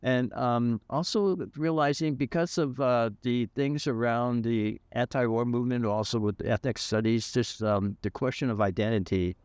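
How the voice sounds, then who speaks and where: A man in his seventies from California, thoughtfully recalling his past in an interview with many pauses and fillers. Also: mid-range voice, a little mellow, clear, resonant tone, dry recording. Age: 70s. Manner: thoughtful, hesitant with frequent fillers. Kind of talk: reflective interview.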